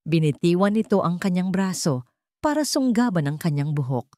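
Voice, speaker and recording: A woman tells a scripted drama as its narrator. Her voice sits low for a woman. The recording is dry, and the delivery is that of dramatic storytelling. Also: sing-song, normal speed, a little mellow, no room sound.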